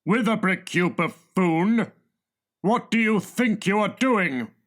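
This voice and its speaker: A blustering, self-important gang boss for a comic audiobook. He speaks in a raised, high register and spaces his words out with exasperated, pompous emphasis. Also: expressive, slow pace. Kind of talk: comic audiobook character reading.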